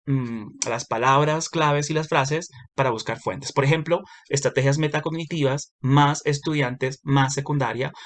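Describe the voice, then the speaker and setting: A man giving a recorded lecture, explaining step by step with frequent pauses and self-corrections. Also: a little high. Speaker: university lecturer.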